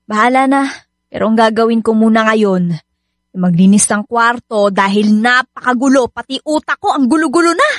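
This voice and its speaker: A woman's voice: fairly high pitch, normal speed, dry recording, studio quality, lightly acted. A woman playing a hardworking, nagging and hurt wife and mother in a Filipino radio drama, emotional and scolding. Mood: weary, casual. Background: background music.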